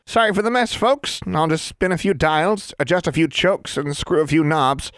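A man's voice: on the high side, normal speed, fairly bright tone, no echo, clean studio sound, voice pushed up. A man voicing a tiny, cheerful male wizard mechanic with a chirpy, folksy manner. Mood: chipper.

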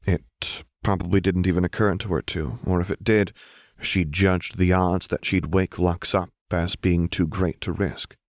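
Male audiobook narrator, very deep register, dry sound, scripted fiction. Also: fairly even intonation, unhurried, hardly any pauses, dry recording.

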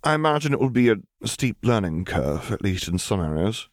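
Man acting middle-aged navy officer, reserved, formal and polite, raised pitch, dry audiobook sound. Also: sing-song, a little fast, no echo. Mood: reserved, resigned. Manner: formal, clipped, polite phrasing.

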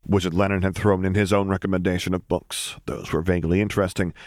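A male narrator reads a story in an even, measured way. His voice is very low, and the recording is dry and close. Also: no room sound. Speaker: audiobook reader. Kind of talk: audiobook narration.

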